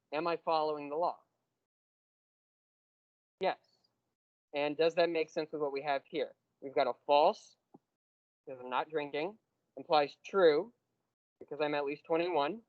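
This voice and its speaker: A man teaching a university math class, explaining step by step and slowing down on key terms. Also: fairly high pitch, dry recording, narrow, tinny audio. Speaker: math lecturer. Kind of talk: classroom lecture.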